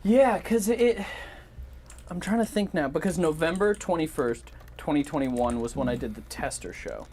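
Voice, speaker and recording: Male co-host, casual and hesitant, thinking out loud, mid voice, faint room noise with keyboard sounds. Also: on the high side, expressive, normal speed. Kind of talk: casual chat.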